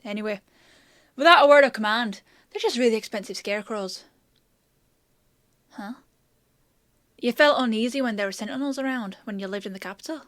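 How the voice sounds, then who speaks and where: A woman's voice: fairly high pitch, a little fast, pauses often, a bit bright, no room sound, lightly acted. A woman playing a chatty, confident country apothecary in a fantasy audio roleplay, explaining and teasing as she talks to the listener. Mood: explanatory, then puzzled, reassuring. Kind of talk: one-sided roleplay acting addressed to the listener.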